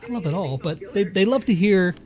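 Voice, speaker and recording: A man's voice: sits high, animated, a little fast, a little mellow. A man hosting a casual interview in an enthusiastic, informal way. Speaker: interview host.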